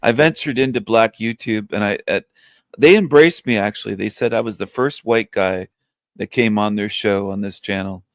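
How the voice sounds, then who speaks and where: An older man hosting a livestream, chatting in a rambling, joking way and laughing often. His voice is strong and low-pitched and sounds younger than his years. The sound is dry and close. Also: normal speed, dry recording. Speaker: livestream host. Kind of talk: casual livestream chat. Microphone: webcam setup, camera off.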